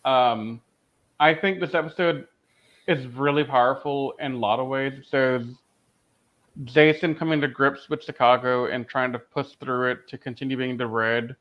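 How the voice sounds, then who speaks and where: A man's voice: mid-range voice, fairly even intonation, normal speed. A man co-hosting a podcast, talking casually in a fan discussion. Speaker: podcast co-host. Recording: dull lo-fi audio.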